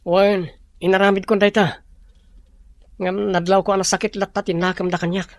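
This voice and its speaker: A man's voice: high-pitched, flat delivery, normal speed, dry recording. Remorseful young man, dry recording. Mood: ashamed. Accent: Filipino. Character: remorseful, ashamed young man begging forgiveness. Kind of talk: radio drama acting.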